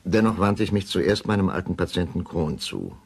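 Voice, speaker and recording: An actor playing a troubled middle-aged male doctor, narrating a crime radio play in a grave, measured, deliberate way. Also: sits low, big pitch swings, creaky, slightly rough, full character voice. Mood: grave, reflective. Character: troubled male doctor recounting a suspicious death. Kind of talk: first-person radio drama narration.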